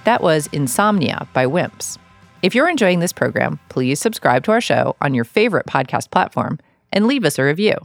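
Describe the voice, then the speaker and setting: A woman's voice: sits low, very animated, normal speed, vocal fry, faint music behind. A woman hosting an interview podcast in a conversational style.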